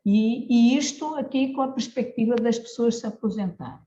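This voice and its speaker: A woman's voice: on the high side, moderate pace, mellow. A woman from Mozambique with a European Portuguese accent, speaking at an online panel, hesitant with fillers and self-corrections. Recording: dull lo-fi video call audio.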